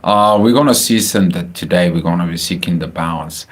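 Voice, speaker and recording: A man with a Bulgarian accent talking casually about astrology on a livestream, halting with many filler sounds and restarts. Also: deep voice. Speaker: astrology channel host. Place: home, house in Spain.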